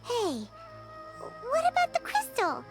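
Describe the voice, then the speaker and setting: A woman voicing a tiny, excitable, childlike fairy companion in a video game, squeaky and easily confused. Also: slow, exaggerated voice. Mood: urging. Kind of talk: animated video game acting. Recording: loud music behind the voice.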